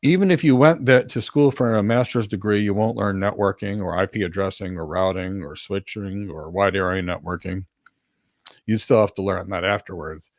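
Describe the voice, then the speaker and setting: A man's voice: low voice, moderate pace, dry recording, studio quality. A man coaching in an informal Q&A, explaining in an assertive, emphatic way and repeating key words for stress. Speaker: career coach and host answering audience questions.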